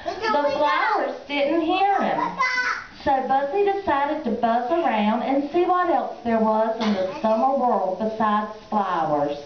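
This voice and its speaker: A woman tells a children's story at a slow pace in a fairly high voice. The recording is echoey, with people audible behind her. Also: lively intonation. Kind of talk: scripted children's story narration. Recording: echoey room, muffled, crowd sounds behind.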